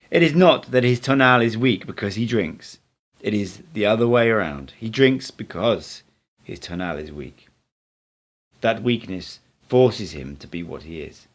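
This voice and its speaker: A man reading the part of an assured male teacher, speaking in a didactic, emphatic way in an audiobook. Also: on the low side. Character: Don Juan, assured male teacher, instructing and correcting.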